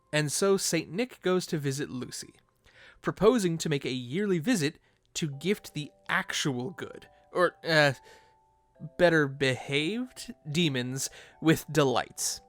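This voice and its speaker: A man's voice: a little high, no room sound. A man narrating an informal fan video essay, with playful, conversational asides.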